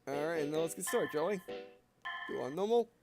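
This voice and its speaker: A man with a notably high-pitched voice hosts a gameplay video. He talks casually and haltingly, often repeating himself as he goes, with soft game music behind him. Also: animated. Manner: halting, rambling, repeats phrases. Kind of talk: casual gameplay commentary, sometimes reading game text aloud.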